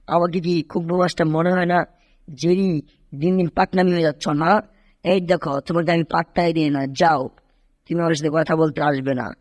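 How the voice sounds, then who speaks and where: An excitable, boastful tomcat from a dubbed cartoon, voiced by a man. He whines and exclaims like a would-be kung fu master, recorded close and dry. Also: fairly high pitch, normal speed, no room sound, lightly acted. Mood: defiant. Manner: animated, whining, exclaiming, shouting kung fu cries. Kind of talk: amateur cartoon dub in Bengali.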